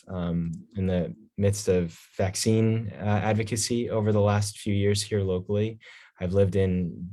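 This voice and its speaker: A man's voice: low-pitched, dark tone. A man, a pediatrician speaking casually and unscripted as a panelist.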